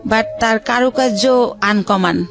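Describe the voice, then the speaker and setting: A woman from Bangladesh telling her life story as a radio show guest, hesitant, with many pauses and fillers. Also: on the high side, soft background music.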